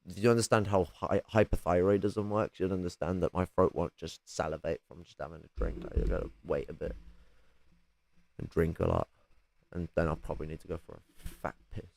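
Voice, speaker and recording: A raspy man, chatting casually on a livestream with lots of restarts and casual swearing. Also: deep voice, steady tone, a little fast, darkish tone, dry recording. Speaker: streamer.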